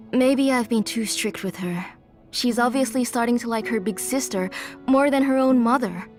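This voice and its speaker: An actress playing a gentle, weary mother and retired craftswoman in a video game, speaking in a measured, apologetic way. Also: fairly high pitch, faint music behind. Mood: self-doubting.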